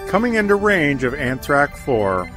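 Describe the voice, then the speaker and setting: A starship helmsman in a sci-fi audio drama, played by a man. He reports in formal military fashion, his male voice swinging widely in pitch. Music is prominent behind him. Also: big pitch swings, measured pace. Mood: dutiful reporting. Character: dutiful, alert male starship helm officer. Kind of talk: science fiction audio drama acting.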